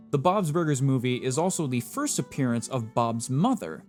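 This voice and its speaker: A man's voice: mid-range voice, darkish tone. A man narrating a scripted trivia countdown in a playful, jokey presenter style, with wry asides between the facts. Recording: music playing behind the voice.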